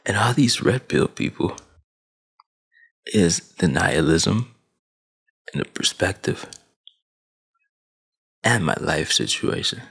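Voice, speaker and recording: A man's voice: low-pitched, animated, slow pace, gravelly, long pauses, a bit bright, slightly rough. A man in his twenties from Sudan, raised in Saudi Arabia, giving a preachy big-brother rant to camera on a headset mic in a small dim room. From Sudan, grew up in Saudi Arabia. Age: 20s. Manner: preachy big-brother tone, emphatic, with hand gestures. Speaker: vlogger. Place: small dim room at home, gaming chair behind. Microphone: headset with boom mic.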